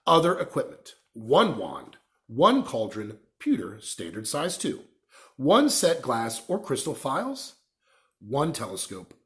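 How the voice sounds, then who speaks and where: Man reading a story, very animated pitch. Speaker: story reader. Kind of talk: read-aloud children's novel narration.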